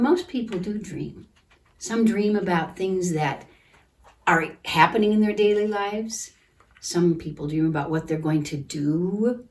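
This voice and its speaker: A woman reading a picture book aloud to children in a gentle, deliberate manner, pausing to comment and ask questions. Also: on the low side. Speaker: children's storytime reader at a church. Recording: distant microphone.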